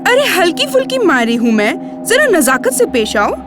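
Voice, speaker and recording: A woman's voice: very high voice, big pitch swings, moderate pace. A woman playing a fragile, distraught biscuit carton in a training film, complaining plaintively and melodramatically. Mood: indignant, hurt. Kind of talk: acted dialogue in a training film. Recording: music behind the voice.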